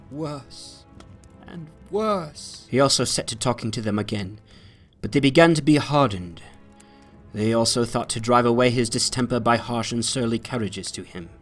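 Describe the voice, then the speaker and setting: A male narrator reads a classic tale with solemn weight. He phrases deliberately and leans into dramatic emphasis, with music playing behind him. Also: medium pitch, a bit bright. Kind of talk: dramatic literary reading, scripted narration.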